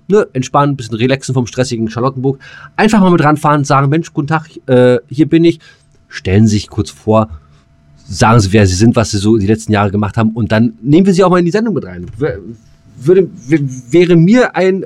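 A man's voice: medium pitch, darkish tone, no room sound. A male podcast co-host chats loosely. He rambles along in casual banter, often slipping into joking, mock-formal phrasing.

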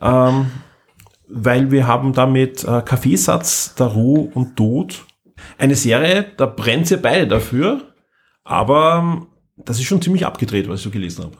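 A man's voice: medium pitch, animated, a little slow. A man with a mid-range voice leads a podcast discussion. His moderating is casual and a little hesitant, as he feels his way to the next word. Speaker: podcast host leading the discussion.

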